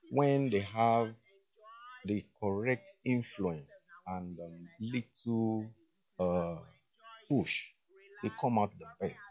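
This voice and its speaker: A man, a deputy head of school, answering interview questions slowly with many fillers. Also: lowish voice. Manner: slow, halting, with many fillers. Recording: dull lo-fi sound.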